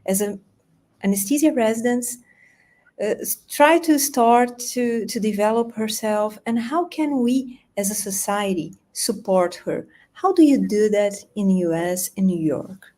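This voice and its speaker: Halting woman moderating a webinar. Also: mid-range voice, darkish tone. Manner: halting, repeats words.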